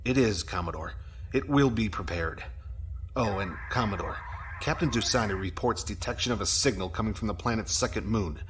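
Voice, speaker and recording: An actor playing a formal, deferential military aide giving reports in a science fiction audio drama. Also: male voice, fairly low pitch, normal speed, background noise, close to an everyday voice.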